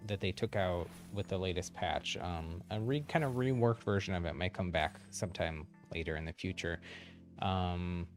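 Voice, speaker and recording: A man giving casual live gameplay commentary, chatty and thinking aloud as he plays. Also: low-pitched. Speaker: livestream gamer.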